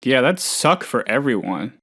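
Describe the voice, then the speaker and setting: A man's voice: medium pitch, big pitch swings, moderate pace, a bit bright, breathy edge, full character voice. A man voicing a friendly, insecure, gullible plant boss in a video game, chatty and hesitant in an earnest, folksy way. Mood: friendly, encouraging. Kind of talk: video game character voice lines.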